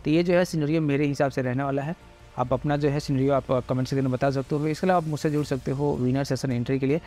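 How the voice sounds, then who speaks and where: A man giving a casual, rambling explanation over slides about a cricket pitch, packed with repeated fillers. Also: fairly even intonation, dark tone, faint music behind. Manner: rapid, rambling, heavy filler "jo hai". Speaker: cricket fantasy tipster. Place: voice-over on screen recording.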